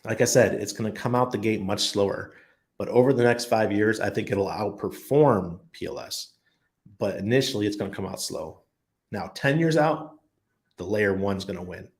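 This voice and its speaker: Stream host, male, low gravelly voice, loose rambling delivery with ums and restarts. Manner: rambling, thinks aloud with many ums and restarts. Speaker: livestream host answering chat. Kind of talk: casual chat. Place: expat living abroad.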